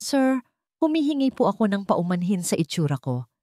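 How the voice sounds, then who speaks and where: A woman's voice: mid-range voice, big pitch swings, normal speed, dark tone, no room sound, studio quality, voice pushed up, close to an everyday voice. A woman voicing a humble, desperate young male job applicant in a story, meek and trembling, with a Filipino accent. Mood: nervous, earnest.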